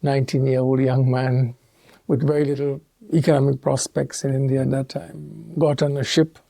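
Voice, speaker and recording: A man from Trinidad and Tobago, a theologian speaking as an interview guest in a measured, thoughtful way with pauses and restarts. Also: mid-range voice, flat delivery, gravelly, dark tone, no echo. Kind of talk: reflective interview conversation.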